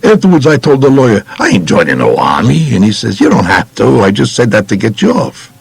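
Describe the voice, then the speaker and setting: A man's voice: mid-range voice, wildly swooping pitch, brisk, vocal fry, breathy edge, no room sound, exaggerated voice. An actor voicing a blunt, unrepentant mobster with a New York accent, recounting his life in slangy audiobook memoir. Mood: matter-of-fact. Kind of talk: first-person audiobook memoir, colloquial.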